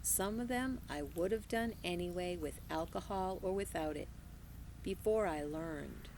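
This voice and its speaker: A woman reading aloud as a wise, gentle old woman, speaking slowly in a soothing, folksy way. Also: lowish voice, a little hiss or hum.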